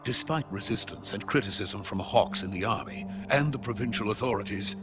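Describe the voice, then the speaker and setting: A man playing an anxious captive Soviet scientist in a video game, speaking in an urgent, deliberate manner. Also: lowish voice, music in the background, lightly acted. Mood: grave. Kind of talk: dramatic video game acting.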